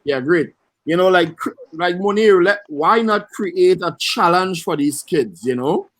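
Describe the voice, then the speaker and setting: A Trinidadian man talks with eager, conversational energy as a webinar panelist. His voice sits a little higher than most men's, and the sound is dry and close, with no room around it. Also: animated, measured pace, a bit bright, dry recording. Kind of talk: webinar discussion. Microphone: video call.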